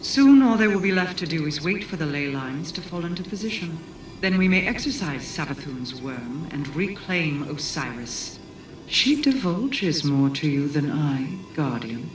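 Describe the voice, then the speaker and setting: A woman playing an imperious, wary queen who leads a coven, speaking formally and gravely in a video game cutscene. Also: low-pitched. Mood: commanding, concerned. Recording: echoing, distant voice under music. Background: music.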